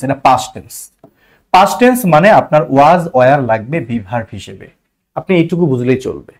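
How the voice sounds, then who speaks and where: A man with a Bangladeshi accent teaching a grammar lesson step by step, repeating points and posing rhetorical questions. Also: fairly high pitch. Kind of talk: instructional lesson for exam students.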